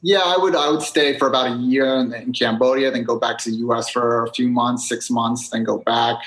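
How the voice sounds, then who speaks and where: A young American man as a podcast guest, talking casually and rambling with lots of fillers in quick bursts. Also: medium pitch, steady tone, fairly bright tone. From United States (New Hampshire, Maine). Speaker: guest vlogger. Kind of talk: casual interview chat.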